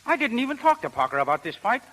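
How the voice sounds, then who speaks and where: An actor playing an earnest, pleading young prizefighter in informal speech, in a 1930s radio drama. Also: male voice, extremely high pitch, sing-song, quick pace, sharp tone, exaggerated voice. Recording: hissy, noisy 1930s radio recording.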